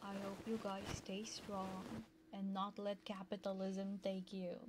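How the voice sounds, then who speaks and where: A soft-spoken, playful woman chatting casually with viewers on an ASMR livestream, close to a binaural microphone. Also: medium pitch, completely flat delivery, measured pace. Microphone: close binaural ear microphone. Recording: clear background noise.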